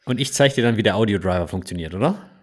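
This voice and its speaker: A man chatting casually as a podcast co-host, teasing and telling things in a jokey, animated way. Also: lowish voice, quick pace, breathy edge. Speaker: podcast co-host, engineering manager.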